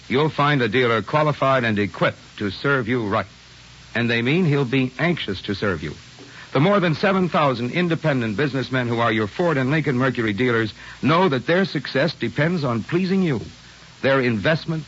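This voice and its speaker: A man reading a scripted 1940s radio advertisement as an announcer, measured, emphatic and persuasive. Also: mid-range voice, a bit bright, slightly rough. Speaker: commercial announcer. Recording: dull old radio recording with faint hiss.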